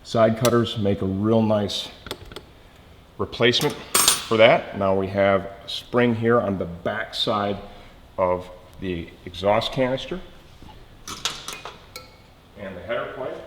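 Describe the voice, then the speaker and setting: A man with a fairly low voice walks through a mechanical job step by step in a casual, explanatory way. The room rings with long reverb, and metallic clinks and clatter are clearly heard around his speech. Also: slow pace, pauses often, noisy background. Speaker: instructor, motorcycle mechanic demonstrating an installation. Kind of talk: hands-on how-to tutorial, talking while working. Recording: reverberant workshop with loud tool clatter.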